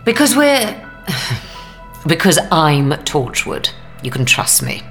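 A woman playing an earnest, guarded secret agent speaking gravely in an audio drama. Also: deep voice, sing-song, slow, a bit bright, faint music behind, exaggerated voice. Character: guarded Torchwood agent holding a captive, earnest.

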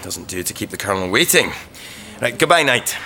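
An actor playing a brisk, courteous British army captain in a period war audio drama, clipped and reassuring. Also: male voice, fairly low pitch, very animated, vocal fry, bright, forceful tone, slight background noise, full character voice.

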